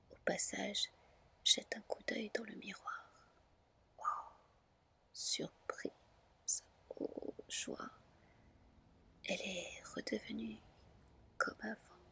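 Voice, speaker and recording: A woman reading a fairy tale as ASMR in a gentle whisper, with hushed, deliberate phrasing. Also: medium pitch, gravelly. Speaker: ASMR storyteller.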